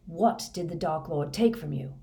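A woman's voice: low voice, moderate pace. A woman reading a storybook, voicing a grim, menacing older male wizard teacher who questions insistently with fanatical intensity. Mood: intent. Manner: quiet insistent questioning rising to fervent intensity.

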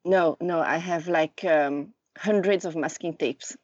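A woman in her thirties from Lausanne with a Swiss French accent, a podcast guest who talks casually and often pauses to search for words. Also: fairly low pitch, darkish tone. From Lausanne, Switzerland. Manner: halting, searching for words, many fillers. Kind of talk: casual conversation. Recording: dull, dry remote recording.

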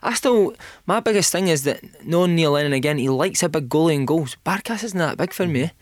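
Fast-talking Scottish man, dry studio sound. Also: a little high, animated, no echo. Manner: quick, chatty, emphatic opinions. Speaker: football pundit. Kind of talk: studio football discussion.

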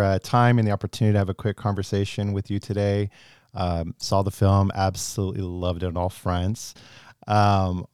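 A man hosting a podcast interview, asking questions enthusiastically with many halting fillers. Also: lowish voice, darkish tone, clear, resonant tone, dry recording, clean studio sound.

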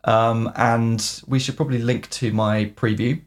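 A man co-hosting a casual podcast chat, often pausing on "um" as he talks. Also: mid-range voice, fairly even intonation. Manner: hesitant, filling pauses with "um". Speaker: podcast co-host. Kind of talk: casual chat about video games.

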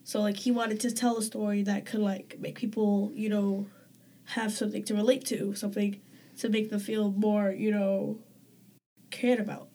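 A woman's voice: medium pitch, flat delivery, moderate pace, dark tone. A woman talking as a podcast guest, in a casual, hesitant way with many fillers and restarts. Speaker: guest community member. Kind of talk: casual conversation.

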